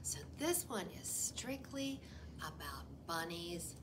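A middle-aged American woman presenting a children's storytime as a library host. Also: on the low side. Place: indoor library room, seated before window blinds. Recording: distant mic, faint room noise.

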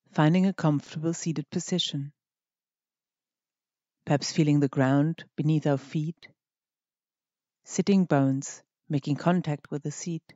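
A meditation guide speaking scripted instructions in a gentle, measured manner with deliberate pauses. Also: medium pitch, no room sound, lo-fi sound.

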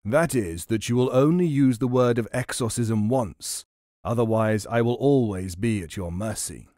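A man's voice: medium pitch, moderate pace, a little mellow, no echo, clean studio sound, natural voice. A man voicing a scheming, hot-tempered genie in a storybook, with a commanding, theatrical delivery. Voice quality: roaring when angered.

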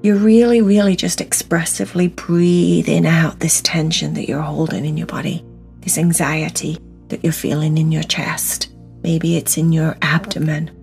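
Female meditation guide: lowish, gravelly-edged voice, very slow delivery, soothing and encouraging instruction, music underneath. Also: steady tone, a little mellow.

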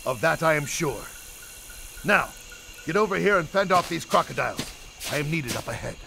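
A man voicing a stern, exacting old martial arts master in an animated game, with clipped, dry, commanding delivery. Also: fairly high pitch, normal speed, gravelly, slight background noise, full character voice. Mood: calm command.

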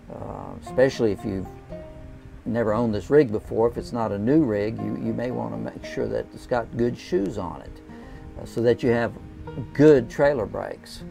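A man's voice: mid-range voice, creaky, warm tone. An older man, an RV travel vlogger, giving plain, folksy how-to tips to camera from inside a camping trailer on a lapel mic. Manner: plain, folksy, unhurried with frequent "uh" fillers. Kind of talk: casual how-to talk to camera. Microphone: clip-on lapel mic.

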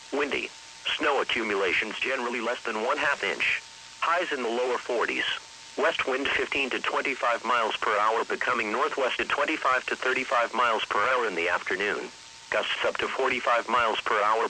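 A man's voice: medium pitch, pushed and bright, rough recording. A synthetic male text-to-speech voice reading a scripted weather bulletin in a formal, impersonal manner as a radio announcer. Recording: hissy weather radio broadcast.

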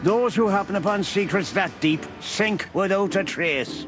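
High-voiced, sly old beaver. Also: male voice, a little slow. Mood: cagey, cautioning. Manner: folksy, teasing. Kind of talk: film noir game voice acting. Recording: music behind the voice.

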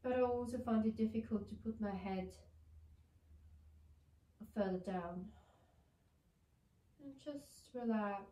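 A woman in her thirties with a blocked nose from a cold, guiding a yoga session in a gentle, soothing way from across a bare living room. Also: medium pitch, takes it slowly, pauses often. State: congested with a cold. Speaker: yoga teacher. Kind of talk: guided yoga instruction. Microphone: far camera. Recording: echoey room, mic far off.

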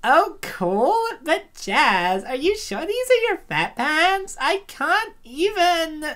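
A woman's voice: high-pitched, big pitch swings, takes it slowly, fairly bright tone, full character voice. A woman voicing exclamatory young women in a comic dub, with exaggerated delivery. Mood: excited. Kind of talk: comic dub character voices.

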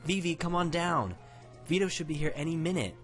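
Man putting on a high, fretful mother. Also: big pitch swings, a little slow, faint music behind. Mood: worried. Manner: high-pitched, faltering, fretful. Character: anxious mother, worried about her missing son.

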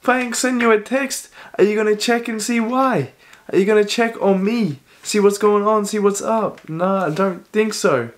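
A man hosting a music reaction video in a relaxed chatty way, a little hoarse from a cold and coughing now and then. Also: high voice, normal speed. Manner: chatty, talks over the song with short reactions. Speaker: reaction channel host. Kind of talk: casual music reaction chat.

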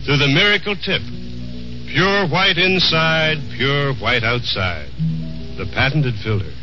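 A man announcing a 1950s radio advertisement as a commercial pitchman. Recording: muffled old radio recording. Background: music.

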